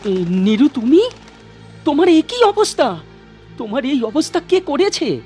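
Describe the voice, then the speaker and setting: A man playing an anxious, bewildered lover in a horror cartoon, calling out in drawn-out anguish. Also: very high voice, sing-song, warm tone, faint music behind, heavily acted. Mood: shocked, distressed.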